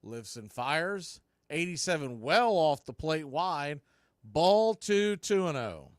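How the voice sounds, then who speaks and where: A man with a high voice calls baseball play-by-play in the style of live radio. His delivery is punchy and clipped, rising with the action, and the sound is close and dry. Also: very animated, slow, no echo.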